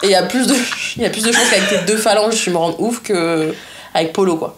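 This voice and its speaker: A woman's voice: mid-range voice, quick pace, very noisy. A young woman chatting casually and frankly as a podcast guest, using slang and bits of English. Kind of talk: casual chat. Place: small indoor podcast studio. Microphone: podcast microphone on a desk stand.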